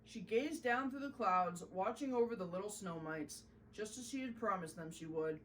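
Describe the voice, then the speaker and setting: A woman narrating a children's storybook in an expressive, deliberate storytelling manner. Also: mid-range voice, far from the mic, slight background noise, poor audio. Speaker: host reading a children's story.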